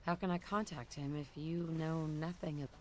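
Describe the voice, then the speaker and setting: A woman's voice: deep voice, steady tone, fairly quick, darkish tone, close to an everyday voice. A woman voicing a wary, reserved agent of an underground group in a video game, with a questioning manner.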